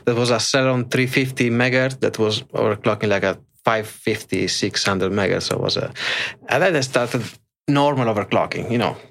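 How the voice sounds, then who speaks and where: A middle-aged man with an Italian accent talks in a fairly low voice during a casual interview. He speaks haltingly, pausing on fillers and restarting his sentences. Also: vocal fry, fairly bright tone. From Italy. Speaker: guest, extreme overclocker.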